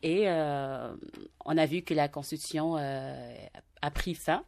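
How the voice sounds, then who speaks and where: A woman's voice: sits low, slow pace, creaky, darkish tone. A woman from Tunisia, a guest on a radio interview, speaking earnestly with many hesitations. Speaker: guest, march organizer.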